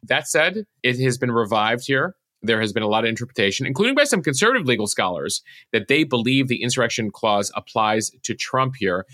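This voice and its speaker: Bright, forceful American man explaining the news. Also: medium pitch, sing-song, brisk, few pauses, no room sound, studio quality. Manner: explanatory, walks through points step by step. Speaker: news podcast host.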